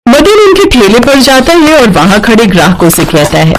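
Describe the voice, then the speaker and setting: A woman's voice: on the high side, lively intonation, moderate pace, noisy background. A woman narrating a scripted children's cartoon story as a storyteller.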